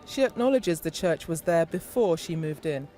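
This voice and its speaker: A man reading scripted narration for a news report, in a quiet played clip. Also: fairly high pitch, animated, normal speed.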